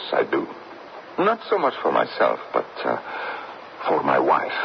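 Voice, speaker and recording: A man's voice: rumbling low, creaky, full character voice. An actor playing a solemn, formal, guilt-ridden middle-aged gravedigger and father in an old radio drama. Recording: dull, noisy old radio broadcast.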